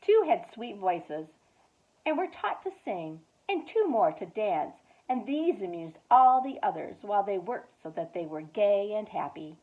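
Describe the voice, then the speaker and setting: A woman youth director reading a children's story aloud at storytime, expressive, with whispered asides. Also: mid-range voice, measured pace. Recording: tinny phone-like sound.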